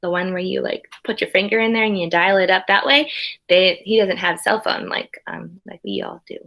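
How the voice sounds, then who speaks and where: A woman's voice: medium pitch, normal speed, vocal fry, fairly bright tone. A woman teaching young children, reading a storybook aloud expressively and chatting to them in conversational asides. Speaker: early primary school teacher.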